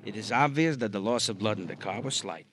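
Actor as wry police doctor, noisy recording. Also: male voice, lowish voice, fairly quick, natural voice. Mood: reluctant, measured. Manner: wry, hesitant, familiar. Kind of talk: 1950s American radio crime drama acting. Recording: noisy old radio recording.

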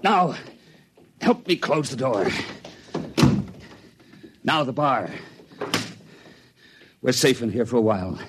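An actor playing a defiant, fervent rebel aboard a starship in 1950s radio drama style, urgent, impassioned and declamatory, on a noisy old recording. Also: male voice, mid-range voice, sing-song, slow pace, long pauses. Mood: urgent, determined.

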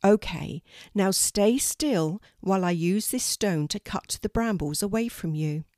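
A woman voicing a kind, practical young girl who speaks gently and reassuringly in a children's storybook. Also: mid-range voice, a little slow, dry recording, clean studio sound, natural voice.

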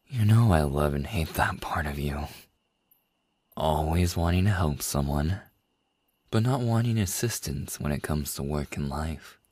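A man playing a deep, husky lovestruck boss. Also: no room sound, exaggerated voice. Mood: fond, honest. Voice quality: husky, breathy. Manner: soft, hesitant, slow with long pauses. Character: tender, lovestruck male boss confessing to an employee. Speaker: audio roleplay performer. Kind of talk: intimate boyfriend ASMR roleplay acting.

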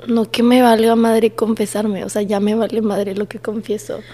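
A woman in her twenties with a Mexican accent, hoarse and nearly voiceless, chatting casually as a podcast co-host in two mixed languages. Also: mid-range voice, little pitch movement, normal speed, dark tone. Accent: Mexican Spanish. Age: 20s. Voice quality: breathy, cracking into whisper. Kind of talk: casual chat, mixing Spanish and English.

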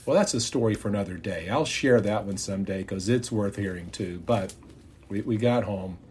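A middle-aged man tells a personal story as a podcast host, reflective and halting. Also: fairly low pitch, darkish tone, a little hiss or hum. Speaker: podcast host and former pastor.

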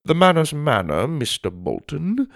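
A snobbish headmaster from a storybook, acted out by a male narrator, speaks with stretched, precise words. His pitch swoops with disdain, and there is some gravel in the voice, captured close and dry. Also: sing-song, no room sound, full character voice. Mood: haughty, formal. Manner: haughty, drawn-out, precise delivery. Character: snobbish, fancy male headmaster of a manners school.

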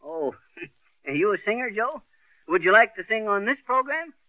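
Blustery, chuckling small-town husband. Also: male voice, high voice, sing-song, moderate pace, no room sound. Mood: jovial. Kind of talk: 1930s radio comedy acting. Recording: tinny old radio broadcast with hiss.

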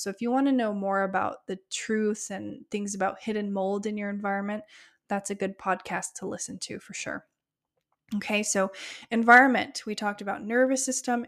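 A woman hosting a solo health podcast, her voice a bit hoarse and giving out, talking casually through lists with small fillers. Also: medium pitch. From United States. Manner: conversational, list-like explanations with fillers. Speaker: podcast host, gut health practitioner.

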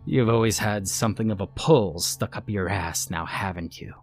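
A man's voice: fairly low pitch, normal speed, soft background music, lightly acted. A man voicing a gleeful, sinister male shapeshifter with a British accent, chatty and mocking. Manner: taunting, theatrically chatty.

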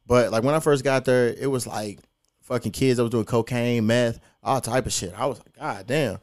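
A man's voice: medium pitch, fairly even intonation, normal speed, a little mellow. A young man, hosting a podcast and telling stories in a laid-back, profane, slangy way. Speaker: podcast host. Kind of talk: casual podcast storytelling.

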